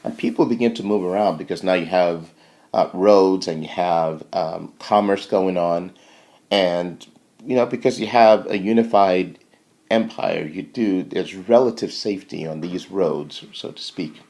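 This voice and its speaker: A man lecturing informally in a halting, think-aloud way, often checking in with short tags as he explains. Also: sits low, a little mellow.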